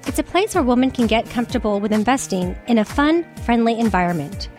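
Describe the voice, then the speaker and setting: Bright woman reading a radio promo. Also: on the high side, animated, quick pace. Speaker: radio show co-host, financial advisor. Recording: music bed underneath.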